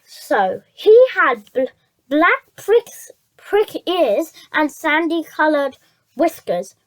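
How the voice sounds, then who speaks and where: A high-voiced reader reading a children's story aloud, stumbling over words and repeating phrases. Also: very animated, drawn-out words, a bit bright.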